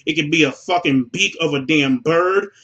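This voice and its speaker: A man's voice: fairly high pitch, moderate pace, a bit bright, muffled recording. A man delivering a profane comedic rant in an emphatic, incredulous manner. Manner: emphatic, incredulous, ranting with exclamations.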